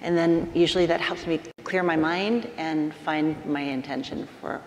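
A woman's voice: deep voice, fairly even intonation, moderate pace. A woman speaking informally and reflectively as a panelist. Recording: echoey hall, faint noise.

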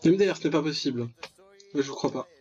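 A man's voice: fairly high pitch, fast, darkish tone, dull audio. A young man giving casual, slangy let's play commentary, with mock-dramatic, exclamatory reactions. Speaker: gaming video host.

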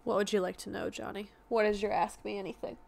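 A young woman streaming a game and chatting with her viewers, with a soft, slightly raspy voice. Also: medium pitch, level tone, fast. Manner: chatty, answers chat questions aloud. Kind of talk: casual live-stream chat while gaming. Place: indoor room. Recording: game music underneath.

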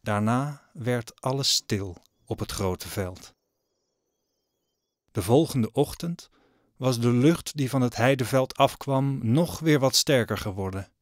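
A man's voice: medium pitch, lively intonation, slow pace, no room sound. A man narrating a story in a scripted audio drama style. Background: music.

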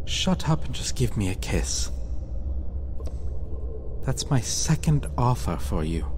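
A man playing a cocky, possessive vampire captor in a dark fantasy ASMR roleplay, taunting and commanding. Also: medium pitch, slight background noise. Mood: possessive, seductive. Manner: taunting, commanding, slow and deliberate.

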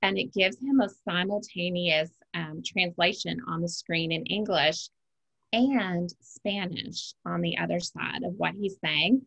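Woman, co-presenter, enthusiastic conversational, husky, lower pitch. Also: measured pace, fairly bright tone, low-quality recording. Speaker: webinar co-presenter, educator. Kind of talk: casual webinar chat.

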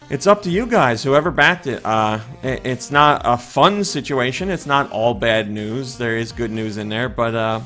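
A man in his thirties, his voice a little hoarse, hosting a casual daily news show from a small home room on a studio mic, chatting and thinking aloud with fillers and restarts. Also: medium pitch, fairly bright tone. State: slightly hoarse voice. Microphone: large studio condenser mic in front of face.

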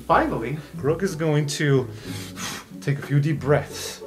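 A man's voice: a little high, big pitch swings, slow, faint music behind. A man running an improvised tabletop fantasy game as game master, narrating action and calling for rolls.

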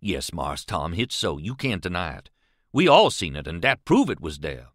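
A man's voice: deep voice, very animated, normal speed, a bit bright, dry recording, clean studio sound, pitched below the performer's own voice, heavily acted. A man voicing a frightened, superstitious and stubborn grown man in an audiobook, with a Southern US accent and earnest, emphatic dialect speech. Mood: stubborn, insistent. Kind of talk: audiobook character voice.

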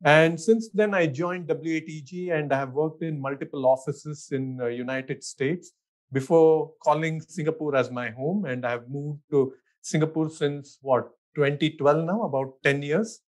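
A man's voice: fairly high pitch, moderate pace. A middle-aged man with an Indian accent, an architect answering interview questions in an easygoing way. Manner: easygoing, thinks aloud with fillers and restarts, laughs often. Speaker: architect, guest.

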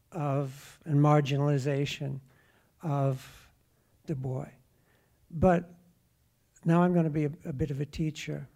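A man, speaking deliberately and emphatically like a teacher as he makes a prepared comment from the audience. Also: a little high, gravelly, dark tone. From Norway. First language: English. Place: lecture theatre audience.

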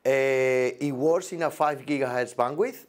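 A man in his forties with halting, non-native English gives an unscripted product demonstration as a presenter, recorded indoors on a lapel mic. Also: mid-range voice. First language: not English. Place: indoor demo set with backdrop.

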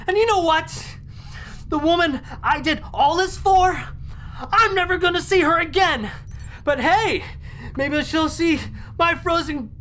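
A woman's voice: fairly bright tone, exaggerated voice. A woman playing a frantic, desperate stowaway pleading for life in an audio drama, sometimes sarcastic. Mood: bitter anger. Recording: faint noise with low rumble.

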